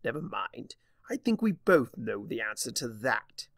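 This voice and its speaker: Casting: male narrator as pompous, vengeful chimera trickster for a storybook, gravelly, taunting, wildly varied pitch. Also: very animated, unhurried, a bit bright, no room sound, clean studio sound, exaggerated voice. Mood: smug mocking. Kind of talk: dramatic fan fiction audiobook reading.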